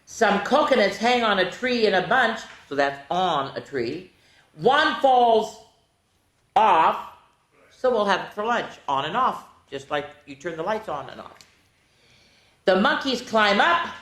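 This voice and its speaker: A middle-aged or older woman hosting children's storytime, reading picture books aloud in an animated way, stretching key words and chatting directly to the kids. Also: medium pitch, takes it slowly. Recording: roomy, echoey room sound.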